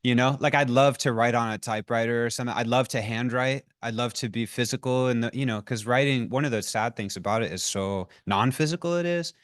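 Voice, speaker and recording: A man's voice: mid-range voice, brisk, hardly any pauses, no echo. A man talking casually on a panel at a live reading, voice sitting in a middle register and rambling along with plenty of "like"s. The sound is dry and close. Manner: loose, chatty, many "like"s. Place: live reading event before an audience.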